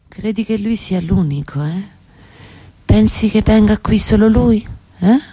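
A woman's voice: medium pitch, unhurried, warm tone, husky, dry recording. A woman playing an evasive, defiant, seductive middle-class wife in radio theatre, with a theatrical, insistent delivery that can drop to a whisper. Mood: taunting, defiant.

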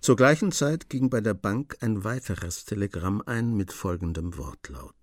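An actor as the composed narrator of a radio crime drama, telling the story in scripted narration. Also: male voice, fairly low pitch, lively intonation, a little slow, dry recording, clean studio sound. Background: instrumental music.

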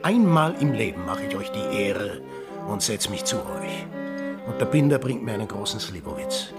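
An actor playing a bitter, mocking sailor in a radio drama, with a sardonic, emphatic way of talking. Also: male voice, on the low side, sing-song, normal speed, loud music behind the voice. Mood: mocking, defiant. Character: bitter, mocking sailor confronting village men over buried dead.

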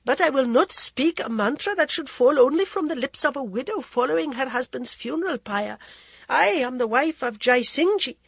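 Woman reading a high-born queen, formal, high and strained, gravelly edge. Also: normal speed, dry recording. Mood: defiant. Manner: pleading then defiant, formal speech. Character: proud desperate middle-aged queen, a woman.

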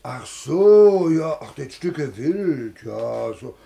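A man's voice: on the high side, lively intonation, slow, gravelly, mellow, full character voice. An actor playing a stingy, eager boatman in a German radio comedy, grumbling and wheedling in Berlin-Brandenburg dialect. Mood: conceding.

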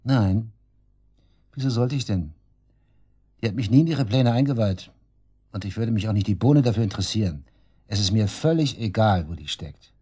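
Low, husky, sneering murder suspect. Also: male voice, animated, normal speed, long pauses, mellow. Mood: scornful, sarcastic. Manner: sarcastic, crude, defiant, slangy. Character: brash, sneering male murder suspect under police questioning. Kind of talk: naturalistic German radio drama acting.

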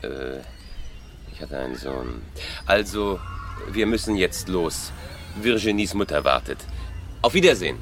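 A man's voice: low voice, animated, unhurried, long pauses, a bit bright, soft background music. An actor playing a polite but evasive father, hesitant and awkward, in a radio play.

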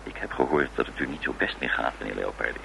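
Cool dogged radio play detective, super low voice, short probing questions, hissy old recording. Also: male voice, quick pace, gravelly, bright, forceful tone, heavily acted, rough recording. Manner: terse, probing, dryly formal. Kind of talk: Dutch radio drama acting.

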